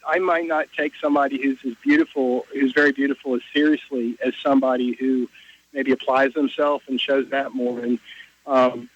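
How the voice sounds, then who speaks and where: A man calling a radio show, giving his opinion casually and haltingly. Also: mid-range voice, steady tone, a little hiss or hum. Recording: dull lo-fi call-in line.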